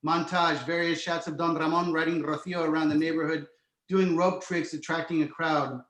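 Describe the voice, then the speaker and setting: A man narrates a screenplay, reading out scene headings and stage directions. His voice sits a bit high, and the room rings with echo. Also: level tone, moderate pace, fairly bright tone. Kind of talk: scripted narration, screenplay table read. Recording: roomy, echoing home recording.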